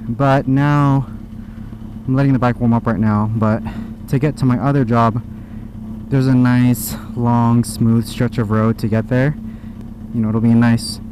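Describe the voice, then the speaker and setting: A young American man chatting casually and in a laid-back, slangy way as he vlogs from inside a motorcycle helmet. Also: medium pitch. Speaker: motorcycle vlogger. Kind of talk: casual vlog chat while riding. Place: outdoors, in a motorcycle helmet on the road. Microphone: microphone inside helmet. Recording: helmet mic with engine and street noise. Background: motorcycle engine and traffic.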